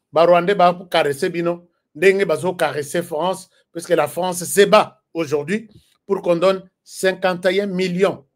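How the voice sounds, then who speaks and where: Congolese guy ranting about politics, kinda high voice, super emphatic, keeps repeating himself. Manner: emphatic, rhetorical, repeats phrases for force. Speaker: political commentator. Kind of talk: impassioned political commentary.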